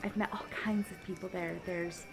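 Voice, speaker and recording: A woman playing a cheerful, polite young travelling bard who chats brightly and directly to the listener in a fantasy audio roleplay. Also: on the low side, steady tone, normal speed, vocal fry, music in the background. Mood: bright, eager. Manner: chatty, bubbly, polite.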